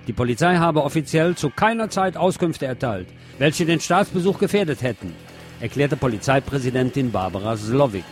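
A man reads news aloud as a host, adding casual commentary. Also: mid-range voice.

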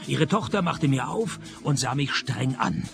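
Sardonic radio private eye, gravelly and lively. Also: male voice, mid-range voice, measured pace, music in the background. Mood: dry, resigned. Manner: dry, deadpan, sarcastic. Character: sardonic, world-weary male private detective. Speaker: narrator and lead actor of a detective radio play. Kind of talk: comic noir radio play narration and dialogue.

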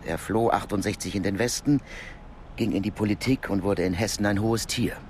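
An actor playing a calm, knowing Cold War intelligence contact in a naturalistic German radio drama, explaining things in a measured, matter-of-fact way. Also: male voice, lowish voice, slight background noise, close to an everyday voice. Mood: grave, explanatory.

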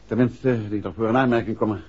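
An actor playing a courteous, composed gentleman detective with a polite, measured, questioning manner in a 1960s Dutch radio drama. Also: fairly low pitch, steady tone, husky. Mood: concerned, composed. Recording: dull, slightly noisy vintage radio recording.

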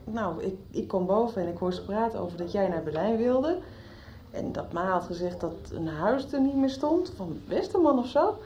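A woman's voice: mid-range voice, animated, normal speed, warm tone, a little hiss or hum, lightly acted. A woman playing an inquisitive, loyal schoolgirl in a Dutch radio soap, asking insistently. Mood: confiding, curious. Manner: questioning, insistent. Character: inquisitive, loyal young schoolgirl, somebody's little sister. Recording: 1990s radio soap production.

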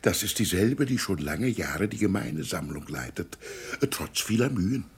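A man playing a mild, reflective village pastor in a literary radio drama, speaking in a measured, formal way. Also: sits low, expressive, breathy edge, full character voice. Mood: firm.

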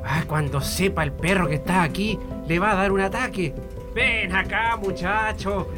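A man's voice: high-pitched, normal speed, natural voice. An actor playing an older prisoner in a radio drama, scolding with fatherly affection in colloquial Chilean speech. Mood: fond scolding. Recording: music behind the voice.

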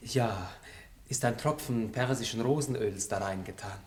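An actor playing a shy, noble young count with a courtly, hesitant manner in a 1960s radio drama. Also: male voice, mid-range voice, moderate pace, lightly acted. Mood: bashful. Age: young adult. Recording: roomy 1960s radio recording, faint hiss.

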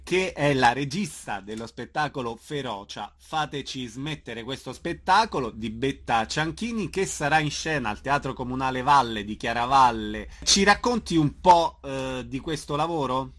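Guy interviewer, middle pitch voice, radio interview style. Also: animated, moderate pace, few pauses, fairly bright tone.

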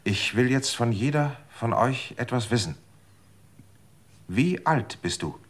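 A man's voice: medium pitch, expressive, takes it slowly. An actor playing a desperate, manipulative man in a radio drama, coaxing and then threatening. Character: desperate, manipulative man seeking longer life.

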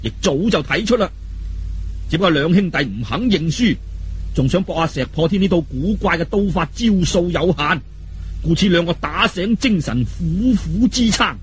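Male storyteller, Cantonese accent, high voice, very lively intonation, colloquial martial arts narration, dramatic. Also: normal speed, a little hiss or hum. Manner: animated, colloquial, dramatic telling with exclamations.

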